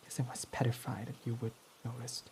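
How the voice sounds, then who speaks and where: A man's voice: medium pitch, flat delivery, fairly quick, mellow, breathy edge. A man playing a foul-mouthed brooding villain. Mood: anxious confession. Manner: intimate and confessional, swearing freely. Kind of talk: ASMR roleplay audio drama.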